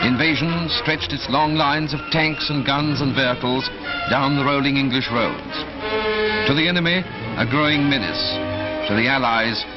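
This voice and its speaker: A man reading scripted wartime newsreel narration from the 1940s in a solemn, emphatic, dramatic manner with measured phrases. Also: mid-range voice, loud music behind the voice. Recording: dull vintage 1940s film soundtrack.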